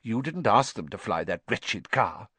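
A man voicing a fussing, sharp-tongued middle-aged witch mother who scolds and snaps at her sons. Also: fairly low pitch, big pitch swings, measured pace, breathy edge, no echo, heavily acted. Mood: snapping. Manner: scolding, snappy, then softening.

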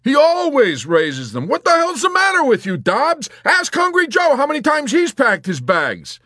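A man's voice: medium pitch, expressive, moderate pace, gravelly, no echo, clean studio sound, voice pushed up. A man voicing an exasperated young airman who is frantic, urgent and prone to snarling incredulously.

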